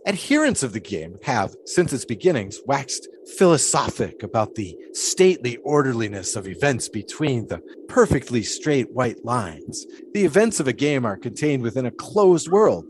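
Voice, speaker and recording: A man's voice: mid-range voice, sing-song, normal speed, few pauses, darkish tone, slight background noise, close to an everyday voice. An actor playing a grandiloquent, philosophical 1920s showman in an audio drama, musing in wordy, theatrical speeches. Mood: wistful, musing.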